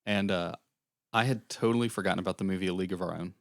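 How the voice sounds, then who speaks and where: Young male podcast co-host, low and slightly hoarse, casual rambling delivery, dry close sound. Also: fairly even intonation, racing, no echo. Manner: rambling recap with fillers. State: losing his voice, airy. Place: small podcast room.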